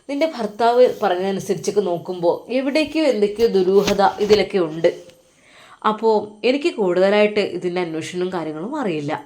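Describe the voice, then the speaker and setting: A woman's voice: mid-range voice, lightly acted. Woman reading as a hospital doctor, firm, lecturing, long advice to a patient, everyday voice. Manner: lecturing, advising at length.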